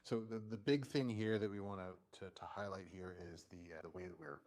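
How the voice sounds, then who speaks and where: A man presenting an informal software demo as an engineer, with a halting, hesitant delivery. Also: low voice, fairly even intonation. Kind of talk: informal tech demo walkthrough.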